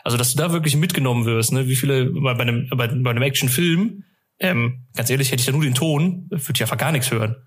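A man's voice: mid-range voice, fast. A man hosting a fan podcast, chatting casually and colloquially in rambling sentences with frequent tag questions. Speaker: podcast host.

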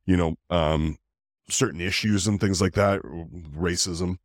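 A man who hosts a podcast, talking casually in a deep voice, rambling with fillers and restarts. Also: steady tone, slow, no room sound, studio quality. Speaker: podcast host. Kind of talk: casual interview chat.

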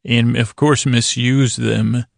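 Male sleep-podcast host: extremely gravelly, mid-pitched, dry sound, halting and stammering, meandering whimsical delivery. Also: flat delivery, no echo. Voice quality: gravelly, creaky. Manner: halting, stammering, meandering with frequent self-interruption.

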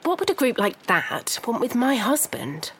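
A woman's voice: fairly high pitch, sing-song, normal speed, a bit bright, slight background noise. An actress playing a refined, determined, anxious wife of a missing man in a 1930s noir audio drama, formal and articulate. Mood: worried, earnest. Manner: formal, articulate, clipped politeness. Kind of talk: scripted period noir audio drama acting.

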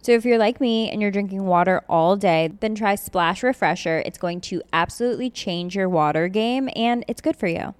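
A woman's voice: mid-range voice, normal speed, hardly any pauses, clear, resonant tone, no room sound. A young American woman hosting a podcast, reading an ad in a casual, chatty, girlish and informal way. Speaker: podcast host reading an advertisement.

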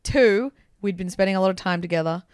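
A young woman with an Australian accent talks in a relaxed, filler-heavy way, swearing freely and teasing her co-host. Her voice sits at an ordinary pitch for a woman and is recorded dry and close. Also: fast, clean tone, dry recording. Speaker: podcast co-host. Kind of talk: casual chat. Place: abroad, travelling in Italy.

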